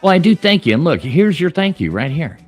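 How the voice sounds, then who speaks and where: A man hosting a lively, chatty stream. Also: on the high side, fairly quick, loud music behind the voice. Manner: chatty, laughs often, rambling. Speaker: livestream host. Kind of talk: casual chat. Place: Irish pub.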